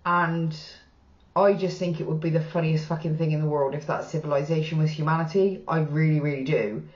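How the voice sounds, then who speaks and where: A woman's voice: low-pitched, darkish tone. Chatty Bristolian woman in a roomy room. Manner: chatty and frank, casual swearing, gestures while talking. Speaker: reaction channel host. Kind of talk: casual reaction commentary. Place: small plain room indoors. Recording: roomy, slightly dull sound.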